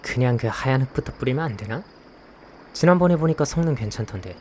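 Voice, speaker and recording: A man acting a dry, grumbling young man in a visual novel, curt and deadpan, with rain and crickets behind him. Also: medium pitch, fast talker, slight background noise, close to an everyday voice. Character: dry, grumbling young man, reluctant occult investigation helper. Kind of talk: visual novel acting with first-person narration.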